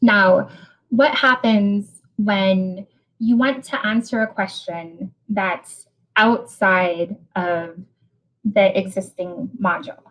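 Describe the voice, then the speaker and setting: A woman from Hawaii with a Hawaiian accent, giving a technical webinar talk over a video call, often pausing and repeating words as she explains. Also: medium pitch, fairly even intonation, fairly bright tone, rough recording. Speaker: presenter.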